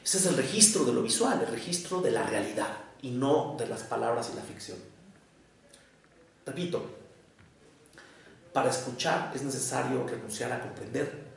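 Gravelly Mexican man lecturing in an echoey room. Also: mid-range voice, lively intonation. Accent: Mexican Spanish, Mexico City. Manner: measured, deliberate, with short pauses between phrases. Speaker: psychoanalyst giving a lecture. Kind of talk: academic lecture to an audience. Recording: echoey room, voice picked up from far off.

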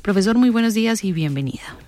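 A radio host presenting a news interview program, announcing clearly as they introduce guests and time checks. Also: mid-range voice, expressive, fast talker, warm tone.

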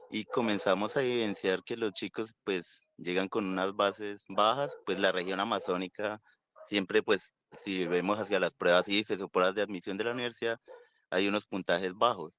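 A man, a university lecturer explaining his work in a radio interview in a conversational way, filling pauses with "pues". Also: fairly low pitch, fairly bright tone, a little hiss or hum.

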